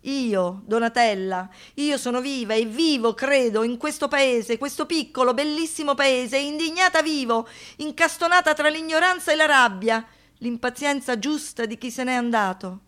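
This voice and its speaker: Anguished female survivor, highish voice, poetic radio theatre monologue, fragmented phrasing, swings between hushed and intense. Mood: indignant, resolute. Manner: halting short phrases, dropping to whispers, rising to outbursts.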